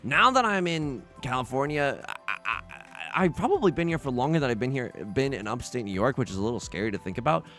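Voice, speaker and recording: A young man giving excitable, joking commentary as he plays a tile game, often restarting mid-sentence. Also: mid-range voice, faint music behind. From China, raised in the US. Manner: excitable, jokey, many quick restarts. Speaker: let's play host. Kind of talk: casual gameplay commentary.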